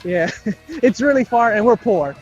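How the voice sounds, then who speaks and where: A young man with a high-pitched voice riffs casually as a podcast co-host. He jokes, swears and slips into little bits of acted dialogue, with music playing behind him. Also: lively intonation, a little slow, dull audio. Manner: jokey, profane, acts out bits of dialogue. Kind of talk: casual improvised fan banter.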